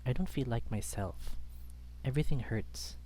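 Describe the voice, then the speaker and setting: A man's voice: medium pitch, normal speed, dark tone, a little hiss or hum. A man reading a first-person story aloud as a dramatic narrator. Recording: background music under the voice. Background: music.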